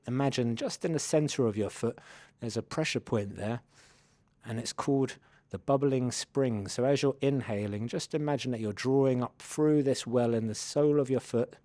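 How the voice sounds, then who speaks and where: A man with a medium-pitched voice guides a meditation through the body. He is gentle and takes his time, phrasing each step as an easy invitation. The sound is dry, with little room around the voice. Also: dry recording. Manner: gentle, unhurried instructing in soft invitations.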